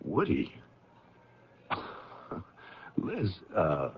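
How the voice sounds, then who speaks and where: An actor in an old-time radio drama playing an uneasy, hesitant family friend who speaks haltingly, grave and protective. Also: male voice, low-pitched, wildly swooping pitch, creaky, exaggerated voice. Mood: startled. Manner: halting, stammering. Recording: very noisy, dull old radio recording.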